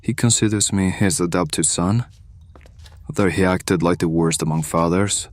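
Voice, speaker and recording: A man's voice: low voice, fairly even intonation, normal speed, dry recording, lightly acted. An actor playing a moody, bitter male hireling in an audio drama, speaking in a curt, sardonic, sullen way.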